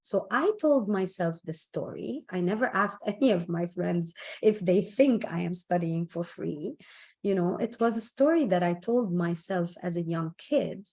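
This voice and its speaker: A woman's voice: fairly low pitch, lively intonation, measured pace, darkish tone. The woman you would hear leading a self-help session, unhurriedly sharing her own stories and leaning on key phrases so they land. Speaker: motivational speaker. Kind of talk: personal storytelling talk on self-esteem.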